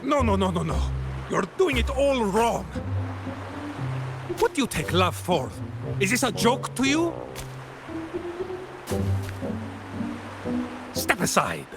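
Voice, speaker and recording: A melodramatic, self-assured romantic fellow in a video game. His fairly high voice sweeps up and down in slow, declamatory phrases over prominent music. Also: very animated, exaggerated voice. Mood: indignant. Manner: theatrical, declamatory. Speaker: companion character in a video game.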